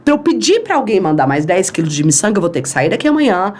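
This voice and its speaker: A woman's voice: medium pitch, fast. A woman from Brazil telling a long story as a podcast guest, acting out the voices in it. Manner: animated, acts out quoted dialogue. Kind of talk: storytelling in an interview.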